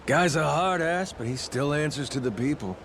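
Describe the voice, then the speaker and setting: An actor playing a cocky, streetwise pirate yakuza captain in a video game, casual, brash and slangy. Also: male voice, a little high, normal speed, a bit bright, slight background noise. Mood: cocky, easygoing. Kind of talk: video game dialogue acting.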